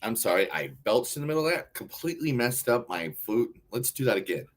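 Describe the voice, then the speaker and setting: A man's voice: medium pitch, sing-song, fairly quick. An American man co-hosting a podcast in casual banter, blunt, jokey and sweary. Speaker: podcast co-host.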